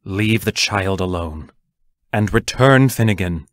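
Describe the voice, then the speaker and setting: A woman's voice: rumbling low, a little slow, no echo, studio quality. A woman playing a terse, protective, anguished teacher in an audio drama, giving firm commands. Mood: stern, urgent. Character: stern, protective, anguished adult teacher facing fairies.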